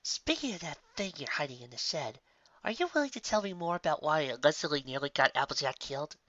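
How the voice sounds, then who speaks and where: A man's voice: on the high side, very animated, fast talker, pushed and bright, dry recording, muffled recording, pitched above the performer's own voice. Fretful storybook mare performed by a man, raised well above his natural voice, dramatic and exasperated. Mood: curious. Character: anxious, fretful female pony confectioner.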